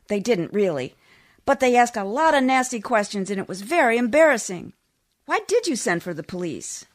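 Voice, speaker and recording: An actress playing a nagging, needy, sharp-tongued wife in 1940s-style radio comedy, with a scolding, argumentative manner. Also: female voice, fairly high pitch, sing-song, moderate pace. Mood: nagging, reproachful.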